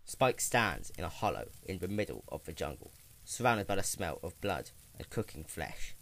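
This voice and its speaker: Low gravelly guy reading a story out loud, voice swings up and down a lot, dramatic. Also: moderate pace. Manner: expressive storytelling with slips and restarts. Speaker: reader.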